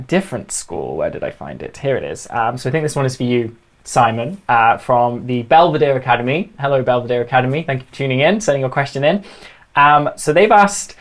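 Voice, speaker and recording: A speaker hosting a live online question session for schools, reading out questions and chatting with the panel, laughing easily and using many fillers. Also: mid-range voice. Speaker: panel moderator.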